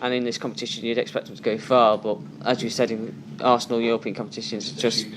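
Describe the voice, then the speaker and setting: A man co-hosting a casual sports chat, giving quick, emphatic opinions. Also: medium pitch, flat delivery, a crowd in the background, poor audio. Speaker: co-host.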